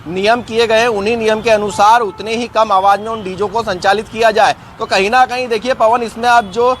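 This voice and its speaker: A man's voice: high-pitched, fairly even intonation, fairly quick, a bit bright, a little hiss or hum. A man giving a live news report as a field reporter.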